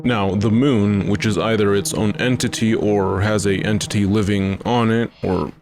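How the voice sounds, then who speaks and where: A man's voice: on the low side, fairly even intonation, normal speed. A man narrating a scripted video essay as its host.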